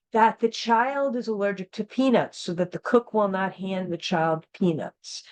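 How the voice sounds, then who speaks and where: An American woman giving an informal training lesson, speaking plainly as an instructor and repeating key points for emphasis. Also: medium pitch. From United States. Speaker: instructor of a training course for school founders.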